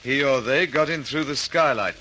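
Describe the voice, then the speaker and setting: An actor playing a matter-of-fact police detective in a radio drama. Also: male voice, medium pitch, normal speed, very noisy, natural voice.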